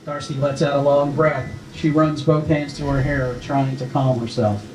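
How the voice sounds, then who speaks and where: A man's voice: mid-range voice, level tone, moderate pace, a little mellow. A man reading screenplay stage directions aloud as the narrator at a table read. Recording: roomy echo with clear background noise.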